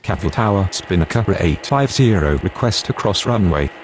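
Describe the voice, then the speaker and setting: Low-voiced man on air traffic radio. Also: lively intonation, moderate pace. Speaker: air traffic controller and pilot radio voice in a simulation game. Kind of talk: clipped scripted aviation radio phraseology.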